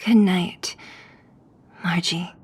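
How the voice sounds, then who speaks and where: A woman voicing a considerate, gentle companion in a video game, speaking in a hushed, hesitant and soothing manner. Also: on the low side, steady tone, extremely slow, husky, full character voice. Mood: gentle, subdued.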